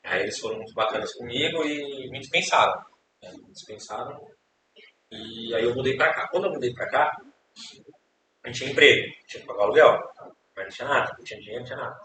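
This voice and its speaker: A man's voice: mid-range voice, fast. A young Brazilian man, a podcast guest, telling his life story in a casual, rambling way, repeating words and tagging questions. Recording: echoey room, distant mic, hiss.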